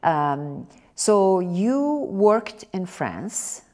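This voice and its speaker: A woman interviewer asking long questions in a halting, hesitant way full of fillers. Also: medium pitch, sing-song, darkish tone.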